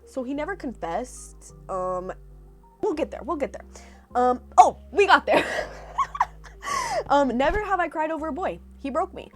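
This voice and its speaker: High, lively young woman vlogger. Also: a little slow, long pauses, faint music behind. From California. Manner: chatty, animated, sarcastic asides. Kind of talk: casual reaction vlog storytelling.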